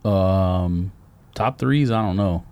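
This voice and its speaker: A man with an American accent and a slightly low voice co-hosts a casual podcast. He is blunt and drily sarcastic, and the recording is close, with very little room sound. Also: slow, darkish tone, smooth, no echo. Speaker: podcast co-host. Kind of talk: casual chat.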